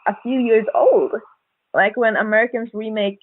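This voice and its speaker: A woman speaking as a podcast guest co-host, chatting casually about horror films in hesitant, halting phrases. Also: fairly high pitch, fairly even intonation, dry recording. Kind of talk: casual horror film chat. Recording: narrow phone-like call audio, dry.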